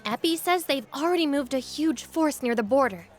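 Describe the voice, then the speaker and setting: A bold young princess turned rebel in a game. Her voice is high, spirited and forthright, with music clearly playing behind it. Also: lively intonation, normal speed. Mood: concerned, insistent. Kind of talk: dramatic video game voice acting.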